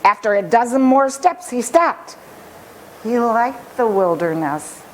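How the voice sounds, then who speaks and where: Female storyteller for children's book: very unhurried, dramatic phrasing, slightly high voice, faint noise. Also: lively intonation, low-quality recording. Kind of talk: expressive children's story reading.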